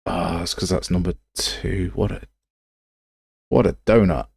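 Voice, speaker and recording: A man's voice: deep voice, fairly even intonation, measured pace, vocal fry, a little mellow, breathy edge, dry recording, clean studio sound. A man hosting a game development livestream, talking casually and thinking aloud as he works in a rambling, informal, self-deprecating way. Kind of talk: casual live tutorial, thinking aloud while working.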